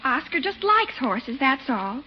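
An actress playing a lovestruck, defiant teenage niece, airy and melodramatic in 1940s radio comedy. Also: female voice, high-pitched, sing-song, measured pace. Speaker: supporting actor in a radio comedy. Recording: dull 1940s radio recording, faint noise.

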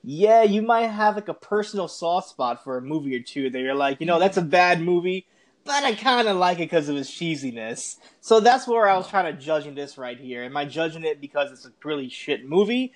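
A man's voice: a little high, animated, moderate pace. A man co-hosting a podcast, chatting informally and conversationally with some slang. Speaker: podcast co-host. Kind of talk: casual conversational podcast chat.

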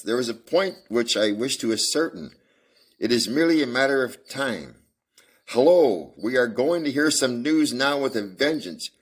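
A man reading aloud and voicing a brisk, sharp-witted male detective, raising his voice for the part. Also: mid-range voice, slightly rough, pitched above the performer's own voice.

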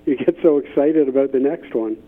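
A middle-aged man answering as an interview guest, earnest and halting, often repeating words as he thinks. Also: mid-range voice. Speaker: interview guest, business thought leader. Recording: thin, phone-like call line.

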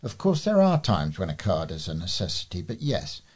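A man's voice: sits low, huge pitch swings, moderate pace, a little mellow, slightly rough. A British man reading readers' letters aloud as a volunteer newsreader.

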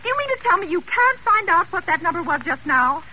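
A muffled, faintly hissy old radio broadcast carries an imperious, frantic married woman. Her voice is piercing and wildly animated. She stammers indignantly and haughtily, as if nobody will obey her. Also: extremely high pitch, brisk. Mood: impatient, indignant. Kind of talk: golden age radio drama acting.

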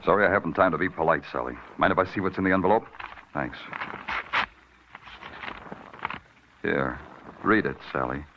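An actor playing a hard-boiled, brooding American police detective in a 1950s radio crime drama, with clipped, world-weary delivery. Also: male voice, deep voice, fast, background noise. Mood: stern. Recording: dull vintage radio recording with music.

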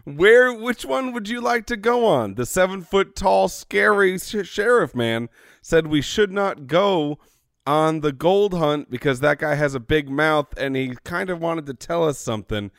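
A man co-hosting and playing a tabletop game, jokey and animated. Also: fairly high pitch, a little slow, creaky, no echo, clean studio sound. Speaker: player and co-host. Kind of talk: casual tabletop chat.

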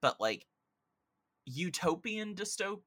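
A man's voice: high voice, very animated, slow pace, fairly bright tone, no echo. A man talking casually and enthusiastically about films and games on a podcast, in a rambling, informal way. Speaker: podcast speaker. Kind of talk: casual film and video game discussion.

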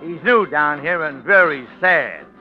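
An actor playing a wry American storyteller, narrating a 1940s radio drama in colloquial, confiding patter. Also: male voice, fairly high pitch, expressive, measured pace. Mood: wry, scene-setting. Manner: wry, colloquial, conspiratorial tour-guide patter. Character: wry storyteller guiding listeners through a seedy bar. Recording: muffled old radio recording with music.

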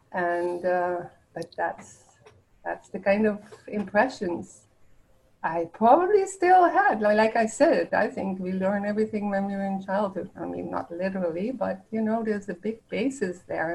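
Older Dutch-accented woman, unhurried. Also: fairly low pitch, darkish tone, husky, low-quality recording. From Netherlands. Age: 70s. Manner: halting, with frequent restarts and light laughter. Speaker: guest artist. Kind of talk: casual podcast interview.

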